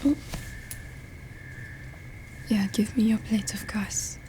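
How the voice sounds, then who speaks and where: A woman playing a playful, teasing, affectionate young French roommate in an intimate ASMR roleplay, speaking softly and without hurry. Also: mid-range voice, steady tone, natural voice. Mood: tender, relaxed. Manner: soft, unhurried, breathy whispering, teasing.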